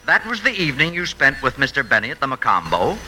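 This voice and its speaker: A man's voice: medium pitch, sing-song, quick pace, pushed and bright. A man playing an inquisitive, puzzled tax investigator in a 1950s radio comedy. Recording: noisy vintage 1950s radio recording.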